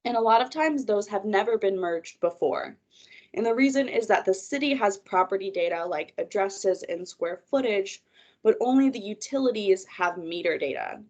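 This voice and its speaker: A woman's voice: medium pitch, expressive, moderate pace, a little mellow. A woman, a project manager presenting a webinar over a video call, congested from a cold, guiding listeners through her slides in an organized way.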